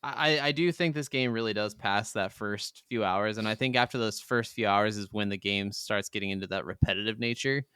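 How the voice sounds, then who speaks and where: Clear-voiced man chatting casually. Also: on the low side, a little fast, hardly any pauses, bright, forceful tone, dry recording, studio quality. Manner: informal, swearing. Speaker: podcast co-host. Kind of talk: casual video game chat.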